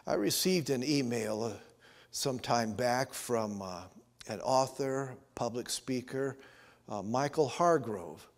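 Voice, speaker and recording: A man in his sixties with an American accent, preaching and telling stories as a pastor, earnest and given to emphatic pauses, in a church. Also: mid-range voice, animated. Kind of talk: sermon with storytelling. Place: church sanctuary, at the altar. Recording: reverberant church hall.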